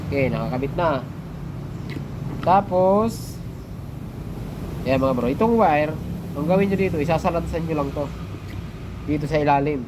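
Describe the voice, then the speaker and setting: A man's voice: on the high side, animated, moderate pace, long pauses. A man with a Filipino accent hosts a hands-on tutorial in casual, informal chat, addressing viewers like buddies. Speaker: tutorial host. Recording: noisy vehicle and street ambience.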